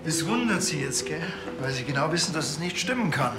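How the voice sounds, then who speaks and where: A man's voice: fairly high pitch, animated, fairly quick, reverberant, over music, natural voice. An actor playing a blunt, cheeky, nosy policeman with a Bavarian accent in a TV crime comedy. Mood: cheeky, probing.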